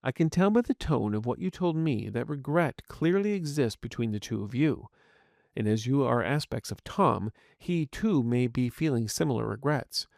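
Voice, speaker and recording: A man's voice: mid-range voice, creaky, darkish tone, no room sound, clean studio sound. Man voicing a courteous, formal artificial intelligence agent. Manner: formal, courteous, precise wording. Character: polite, curious, reasoning artificial intelligence medical agent. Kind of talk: scripted audio fiction dialogue.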